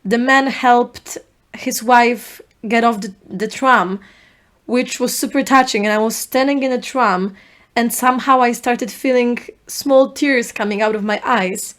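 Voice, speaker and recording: A young woman with a Polish accent, a native Polish speaker, hosting a solo podcast in an earnest, reflective way, speaking in short halting phrases. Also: fairly high pitch, a bit bright. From Poland. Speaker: podcast host.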